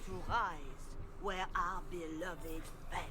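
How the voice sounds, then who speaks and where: A woman's voice: on the low side, steady tone, takes it slowly, bright, forceful tone, a little hiss or hum. An actress voicing a zealous female Chantry Mother in a video game, preaching accusations to a crowd. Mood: declaiming. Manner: declaiming, accusatory sermon. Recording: game audio with clear background ambience.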